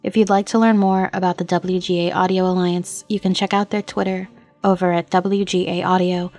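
Woman, podcast host, scripted narration, flat intonation, background music. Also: fairly low pitch, brisk. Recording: music playing behind the voice.

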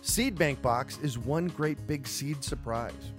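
A man's voice: medium pitch, takes it slowly, music in the background. A man hosting a podcast, reading an intro and asking his guest questions in a friendly way. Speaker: podcast host. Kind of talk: scripted intro and casual interview questions.

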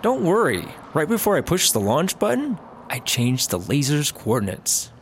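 A man's voice: a little high, huge pitch swings, normal speed, slight background noise. A man playing a hesitant, easily swayed, sheepish squad-car partner in a comic audio drama. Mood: casual, sheepish.

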